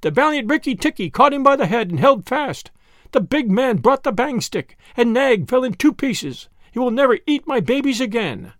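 A man voicing an excitable, feather-brained male tailorbird in a storybook reading, high and sing-song. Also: normal speed, dry recording, studio quality, exaggerated voice. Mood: triumphant.

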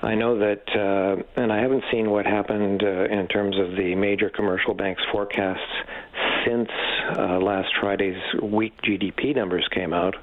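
A man giving expert economic commentary in a radio interview, speaking in a measured, halting way with slightly dull audio. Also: fairly low pitch, level tone, no room sound. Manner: measured, halting, hesitant phrasing. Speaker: economics professor, interview guest.

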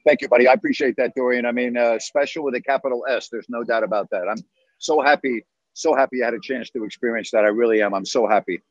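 A man's voice: medium pitch, steady tone, fast, dry recording, dull audio. A man hosting a sports call-in show, upbeat and agreeable as he chats with callers. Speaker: radio sports talk host. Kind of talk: casual call-in chat.

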